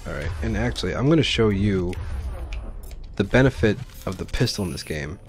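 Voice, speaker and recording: Low-voiced man casually commentating a game. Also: animated, fairly quick. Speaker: let's play host. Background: game music and sound.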